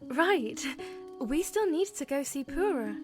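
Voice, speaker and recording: A woman playing a sincere, gentle young princess in a fan audio drama, with an earnest and deliberate delivery. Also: sits high, very animated, heavily acted. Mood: agreeable, curious. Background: music.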